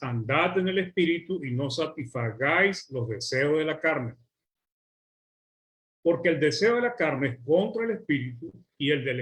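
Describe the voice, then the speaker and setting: A man with a Venezuelan accent preaching a sermon, working through lists slowly and stretching key words for weight. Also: a little high. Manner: measured, list-like preaching with drawn-out words.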